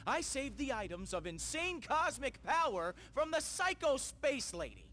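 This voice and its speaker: A man's voice: extremely high pitch, very animated, unhurried, sharp tone, a little hiss or hum, exaggerated voice. A man playing a flippant, boyish space adventurer in a video game cutscene, casual and incredulous. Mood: flustered.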